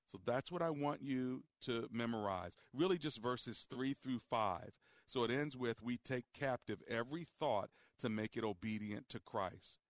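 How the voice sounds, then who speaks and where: A man hosting a call-in radio show, speaking calmly and deliberately as he guides a caller. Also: medium pitch, no room sound, clean studio sound. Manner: calm, deliberate, guiding. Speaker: radio talk show host. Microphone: studio microphone.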